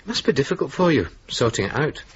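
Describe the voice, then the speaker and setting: An actor as an earnest Scottish RAF officer, lively intonation. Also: male voice, medium pitch, fast talker, natural voice. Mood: curious, deadpan. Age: young adult. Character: earnest, fretful young Scottish RAF officer, plain-spoken. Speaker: actor in a radio play. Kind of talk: naturalistic 1980s radio drama acting. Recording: muffled, hissy old radio broadcast tape.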